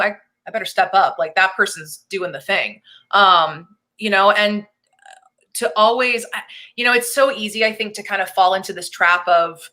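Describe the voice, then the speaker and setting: A woman in her thirties with a bright, ringing voice talks casually as an interview guest. She is upbeat and chatty, laughs easily and fills her pauses with ums. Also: medium pitch. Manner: chatty, upbeat, laughs often, many fillers. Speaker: actor and singer, interview guest.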